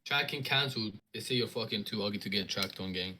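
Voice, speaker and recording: A man's voice: on the low side, fast talker, noisy background. A young man from Portugal, a streamer, bantering and roasting in slangy casual chat on a call.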